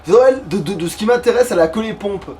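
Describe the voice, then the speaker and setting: Man, moderately high pitch, casual gamer talk, sweary muttering, faint background noise. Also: animated, quick pace, warm tone, distant mic. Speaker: let's player. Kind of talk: casual gaming chat.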